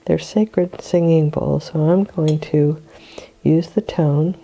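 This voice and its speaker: An older woman with a cold, hoarse and clearing her throat, guiding a meditation in a slow, soothing way. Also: deep voice, steady tone, mellow, low-quality recording. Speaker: meditation guide. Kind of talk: guided meditation.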